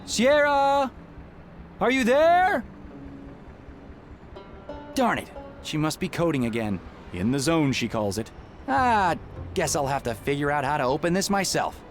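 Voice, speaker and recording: Animated, earnest young male game hero. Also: fairly high pitch, a little slow, pauses often, faint music behind. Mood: mildly exasperated. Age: young adult. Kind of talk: adventure game dialogue and musing.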